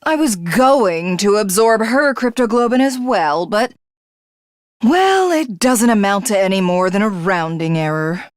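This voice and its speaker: A woman's voice: medium pitch, animated, measured pace, dry recording, studio quality, close to an everyday voice. A woman voicing a haughty alien villainess in a video game, grandiose, theatrical and condescending. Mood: condescending, cruel. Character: haughty female alien commander craving godhood.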